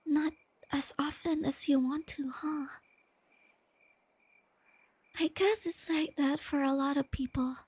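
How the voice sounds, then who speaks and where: A woman playing a shy, nerdy, tender young girlfriend in a soft-spoken ASMR roleplay, with a breathy near-whisper and hesitant, gently stammering delivery, crickets chirping behind her. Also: sits high, no echo. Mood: cozy, tender. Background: crickets and night insects.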